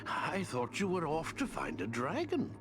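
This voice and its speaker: A man playing a gentle, eccentric old wizard in a fantasy game, whimsical and kindly as he muses. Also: a little high, wildly swooping pitch, unhurried, noisy background. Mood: musing, concerned. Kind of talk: fantasy video game cutscene acting. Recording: loud music behind the voice.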